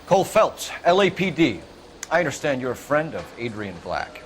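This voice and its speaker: An actor playing a firm, no-nonsense police detective in a video game, stern and accusatory. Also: male voice, mid-range voice, sing-song, moderate pace, a little hiss or hum, natural voice. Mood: businesslike. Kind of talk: dramatic video game acting.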